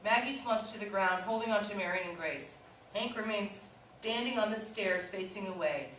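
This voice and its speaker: A woman narrates a script's stage directions in a medium-pitched voice. She sounds far off in a very reverberant hall, with faint noise behind her. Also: normal speed, fairly bright tone. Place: indoor staged reading, recorded from far off. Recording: echoey hall, distant mic, faint noise.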